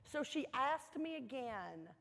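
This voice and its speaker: A woman gives a motivational storytelling speech to an audience, earnest and emphatic, with dramatic pauses and repeated phrases. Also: sits high, big pitch swings, creaky, a bit bright. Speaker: motivational speaker at a university welcome event. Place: large indoor hall, addressing an audience. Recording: echoey large hall with long reverb.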